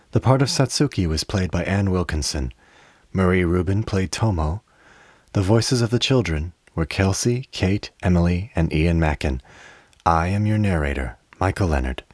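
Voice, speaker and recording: A man narrating a scripted radio play in a measured, literary storytelling manner. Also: low voice, a little mellow, no echo, clean studio sound.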